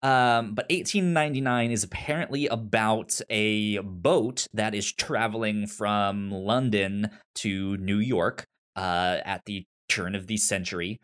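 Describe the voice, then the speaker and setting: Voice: medium pitch, measured pace, hardly any pauses, a bit bright. A podcast host of unclear sex chatting casually about television, often stumbling and repeating words while explaining. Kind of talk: casual TV show discussion.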